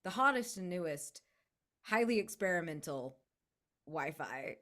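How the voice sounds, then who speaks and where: A woman's voice: fairly low pitch, lively intonation, unhurried, fairly bright tone. A woman chatting as a player in a tabletop game, informal and slangy with a wry manner. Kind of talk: casual game chat.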